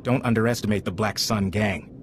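An actor playing a grave government agent in a video game, speaking in a formal, official manner. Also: male voice, on the low side, fairly quick, background noise, lightly acted. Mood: earnest. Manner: serious, official. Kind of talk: video game character acting.

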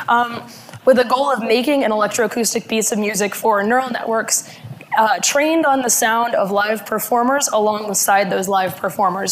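A woman in her thirties, hoarse from laryngitis, giving a conference talk in a halting way with many fillers, speaking at a podium mic. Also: mid-range voice, a little hiss or hum, rough recording. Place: conference room.

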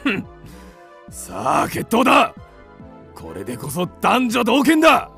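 A man's voice: high-pitched, sing-song, moderate pace, creaky, fairly bright tone, soft background music, full character voice. A man playing a blustering, hot-headed creditor given to impulsive declarations in a theatrical radio drama. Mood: indignant.

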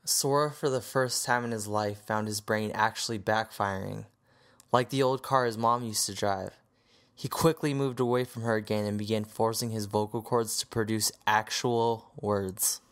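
A man's voice: lowish voice, steady tone, normal speed, fairly bright tone. A man reading scripted story narration as the narrator of an audio drama.

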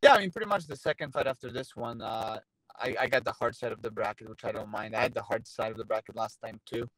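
A man's voice: mid-range voice, quick pace, no room sound, rough recording. A man in his thirties, a Polish native speaker, chatting in a casual interview from his car, with lots of fillers and restarts. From Poland. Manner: relaxed, many fillers and restarts. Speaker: interviewee, fighter. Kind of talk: casual video-call interview. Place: parked car. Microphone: phone held at arm's length.

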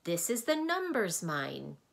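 A brisk, officious male guide in a storybook, as a woman reading aloud puts him on, calling out instructions in a loud, proud voice. He sounds somewhat higher than her own low reading voice. His manner stays crisp and commanding. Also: wildly swooping pitch, full character voice.

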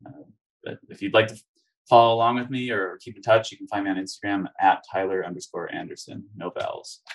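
Young American designer guy, low voice, laid-back intro with lots of "uh". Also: brisk, rough recording. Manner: relaxed, hesitant with many "uh"s. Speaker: industrial designer and instructor. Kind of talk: casual self-introduction.

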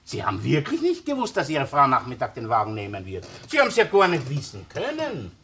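A man's voice: mid-range voice, wildly swooping pitch, brisk, fairly bright tone, slightly rough, full character voice. An actor playing a wily, insistent Austrian police chief inspector in a crime drama, needling and sarcastic as he interrogates. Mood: mocking. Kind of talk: crime radio or TV drama acting.

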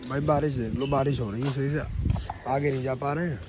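A man's voice: mid-range voice, steady tone, fairly quick, background noise. A young man chatting casually to his phone camera as a vlogger, joking with friends in a hostel, on the street and in a mall. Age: young adult. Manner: joking, teasing friends, filming selfie-style. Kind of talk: casual vlog chat. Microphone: phone at arm's length.

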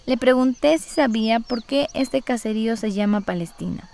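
A woman's voice: a little high, moderate pace, creaky, darkish tone, soft background music. A woman, narrating a scripted first-person documentary radio story.

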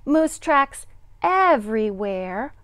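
A middle-aged woman, a kindergarten teacher, reading a picture book aloud to young children with deliberate, sing-song dramatic emphasis. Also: fairly high pitch, sing-song, fairly bright tone, dry recording.

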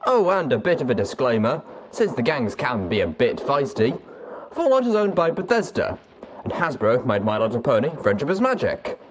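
Animated male show host, noisy recording. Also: medium pitch, normal speed. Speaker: podcast host. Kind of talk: scripted show introduction.

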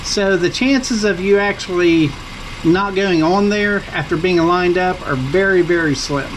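Man, high-pitched, folksy, step-by-step explaining, outdoors, faint background noise. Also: measured pace, a bit bright, low-quality recording. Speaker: channel host reviewing tools. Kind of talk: casual product demonstration. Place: outdoors by his vehicles.

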